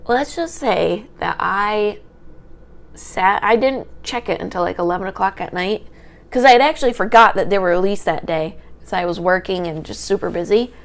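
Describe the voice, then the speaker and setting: A woman's voice: mid-range voice, normal speed, gravelly. A young woman, a history student, talking through an unedited personal vlog into a small microphone. She has a small, light voice and rambles, tripping over her words. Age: young adult. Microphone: small handheld microphone.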